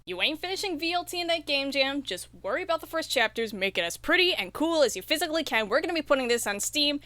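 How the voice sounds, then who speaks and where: A woman's voice: high-pitched, animated, fast talker, pushed and bright, no room sound. A woman guesting on a podcast as an indie game developer, chatting casually in a slangy, emphatic way. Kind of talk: casual interview chat.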